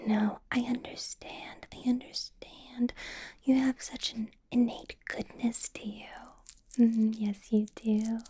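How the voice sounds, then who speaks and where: A woman voicing a sweetly possessive, menacing female vampire boss in a soft, breathy ASMR whisper. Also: fairly high pitch, heavily acted. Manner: sweetly possessive, amused with an undercurrent of threat. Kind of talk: ASMR roleplay.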